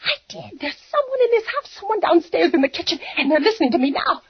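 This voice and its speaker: A woman's voice: very high-pitched, very animated, quick pace, a little hiss or hum, exaggerated voice. An actress playing a frantic, bedridden woman alone at night, pleading and breaking into sobs in 1940s radio acting style. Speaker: lead actress. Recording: muffled 1940s radio broadcast.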